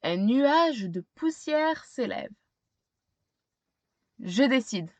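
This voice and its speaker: A woman reading a children's picture book aloud expressively, pausing to put simple questions to young listeners. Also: sits high, animated, dry recording. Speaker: storytime reader.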